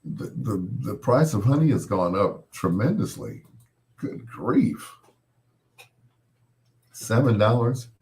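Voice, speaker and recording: Deep hoarse guy with a cold, rambling late night radio host, laughs at his own dad jokes. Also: darkish tone.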